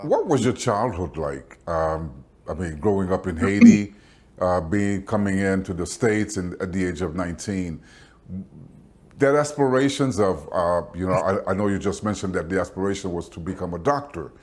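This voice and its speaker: Host guy, middle-aged, lowish voice, restarts his questions a lot, video call from a bright room. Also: normal speed. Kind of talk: interviewer questions. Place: indoors, windowed room. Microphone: webcam video call.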